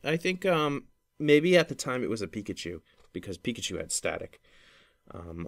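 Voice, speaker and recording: A man chatting casually about gaming as a podcast host, in a rambling, hesitant way with frequent restarts. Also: on the low side. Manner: hesitant, rambling, often repeating and restarting phrases.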